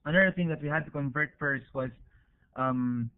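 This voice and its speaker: A man's voice: medium pitch, moderate pace, clear, resonant tone, phone-quality sound. A man with a Filipino accent giving an informal academic presentation over an online call, explaining step by step in a hesitant way and checking in as he goes. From Philippines. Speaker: university researcher presenting.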